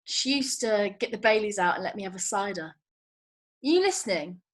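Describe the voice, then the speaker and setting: A woman's voice: mid-range voice, lively intonation, fairly quick, close to an everyday voice. A woman reading the part of a feisty, blunt, working-class young woman in a comedy script, colloquial and mocking. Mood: dismissive. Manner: colloquial, mocking, non-standard grammar. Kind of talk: table read of a comedy screenplay.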